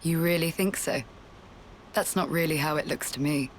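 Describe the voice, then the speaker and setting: A woman playing a resolute, composed military commander in a video game cutscene, speaking in a measured, formal and self-assured way. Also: deep voice, slight background noise, close to an everyday voice. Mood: earnest, reflective. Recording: loud music behind the voice. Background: game soundtrack music.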